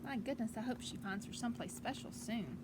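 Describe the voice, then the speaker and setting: A woman teacher reading a picture book aloud to children outdoors on a porch, with gentle, encouraging asides. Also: on the high side, a little fast. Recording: clearly noisy outdoor ambience.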